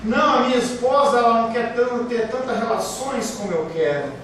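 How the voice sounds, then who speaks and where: A man's voice: very high voice, fairly quick, fairly bright tone. A man preaching a sermon as pastor, emphatic, with rhetorical questions and acted-out excuses. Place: church hall. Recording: very echoey room, speaker far from mic, faint hiss.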